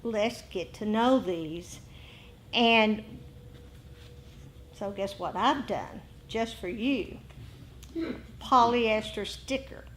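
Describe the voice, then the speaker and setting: A woman giving an informal dharma lesson to a meditation group, pausing between short phrases. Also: medium pitch, a little hiss or hum. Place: indoor group meeting.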